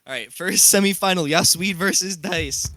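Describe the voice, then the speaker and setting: A man co-hosting a podcast, chatting casually in an animated manner. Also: high-pitched, normal speed. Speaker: podcast co-host. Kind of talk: casual chat.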